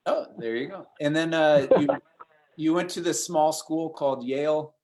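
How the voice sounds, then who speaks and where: A man of forty hosting a casual interview, talking haltingly with many restarts. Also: on the high side, darkish tone, poor audio. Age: 40s. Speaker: host.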